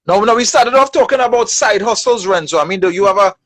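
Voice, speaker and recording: A Trinidadian man co-hosting a casual live online chat, asking brisk, teasing questions. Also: sits high, lively intonation, fairly bright tone, no echo. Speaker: co-host. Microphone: online live stream.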